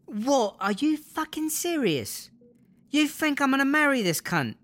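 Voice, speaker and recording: A woman's voice: high-pitched, very animated, measured pace, fairly bright tone, heavily acted. A woman with a British accent playing a jealous, bitter, foul-mouthed girlfriend in a comedy audio drama, sarcastic and exasperated. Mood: indignant.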